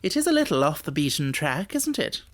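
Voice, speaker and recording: A man voicing a brilliant gentleman detective in an audiobook story, self-assured, urbane and didactic, with wry amusement. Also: on the high side, sing-song, fairly quick. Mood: amused.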